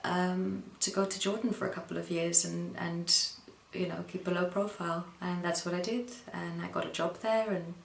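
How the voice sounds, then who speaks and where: A woman from the Netherlands tells her story in an interview, with a very low voice and plenty of gravelly fry. She speaks hesitantly, with many ums and pauses. The room is echoey, with faint noise underneath. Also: flat delivery. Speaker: interview guest. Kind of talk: personal account in an interview.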